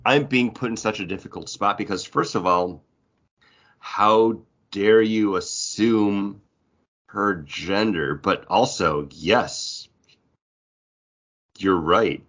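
Male co-host, lower register, jokey and mock-outraged, conversational, muffled lo-fi recording. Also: slow pace, long pauses, a bit bright. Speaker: podcast co-host. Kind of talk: casual banter.

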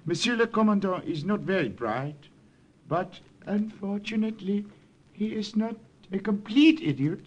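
An actor playing a shrewd priest of the resistance in a 1940s radio drama, speaking softly. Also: male voice, high voice, expressive, moderate pace, dull audio. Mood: sly, gentle. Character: shrewd French village priest in the underground.

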